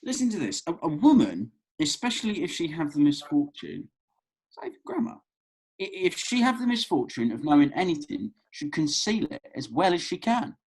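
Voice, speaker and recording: A man's voice: fairly high pitch, huge pitch swings, normal speed, darkish tone, breathy edge, heavily acted, rough recording. A man acting an affable, cheeky, slightly hapless young man in a comedy script read, with hesitant, wry colloquial delivery. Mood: amused.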